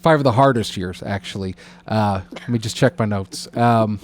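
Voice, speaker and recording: Male podcast interviewer, slightly low voice, casual, halting and roundabout in delivery. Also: slight background noise. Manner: halting, rambling, roundabout questions.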